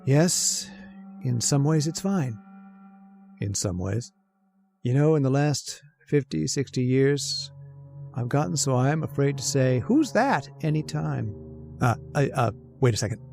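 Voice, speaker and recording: A man's voice: medium pitch, animated, a little slow, gravelly, darkish tone, faint music behind. A man playing a nervous, henpecked, long-lived husband of a witch in a radio horror drama, given to wistful storytelling and flustered stammering. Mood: uneasy, rueful. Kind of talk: old-time radio horror narration and acting.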